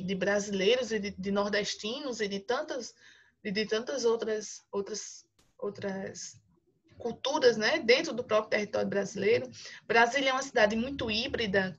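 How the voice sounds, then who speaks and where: A woman's voice: a little high, a bit bright, slightly rough. Woman teacher on dull lo-fi audio. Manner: explanatory, tag questions "né?" and "certo?" after each point. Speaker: geography teacher. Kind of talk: online class lecture.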